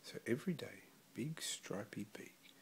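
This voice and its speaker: A man reading a children's story aloud expressively, with gentle, deliberate phrasing. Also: on the low side, animated, gravelly, whispered. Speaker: storybook reader.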